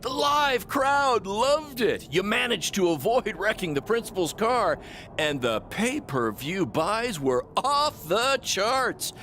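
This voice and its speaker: An excitable, scheming wrestling promoter in a game cutscene. The delivery is upbeat salesman patter in a higher voice that swings widely, full of dramatic flourishes, over faint noise. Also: takes it slowly, full character voice. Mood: enthusiastic. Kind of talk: video game cutscene acting.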